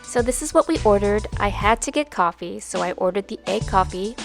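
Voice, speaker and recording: A woman's voice: medium pitch, expressive, brisk, vocal fry, soft background music. A woman hosting her own vlog, narrating in an upbeat, bubbly voice-over. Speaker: vlog host and singer.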